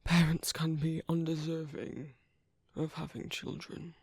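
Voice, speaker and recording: A very close, dry ASMR recording of a tender British vampire priest, acted by a man. His voice is extremely breathy and frayed with creak, often sinking to a whisper. He speaks slowly and carefully, with old-fashioned courtesy and a fatherly gentleness. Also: a little high, monotone, dry recording. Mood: grave, tender. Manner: gentle, hesitant, formal and courteous. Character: soft-spoken, caring British vampire priest, paternal and formal. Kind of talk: soft ASMR roleplay, intimate and slow. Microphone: close microphone, dry.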